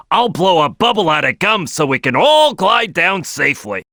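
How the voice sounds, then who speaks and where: A man's voice: sits high, gravelly, sharp tone, no echo, exaggerated voice. A man voicing a big brash cartoon crocodile team leader in a video game, giving tutorial tips with emphatic, punchy delivery. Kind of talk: animated game tutorial voice lines.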